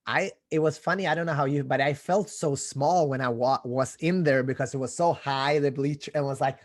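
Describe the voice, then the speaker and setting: Podcast host, man, mid-range voice, halting storyteller who repeats words, dry close recording. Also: medium pitch, no echo. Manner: halting, repeats words while telling stories. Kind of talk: casual interview conversation.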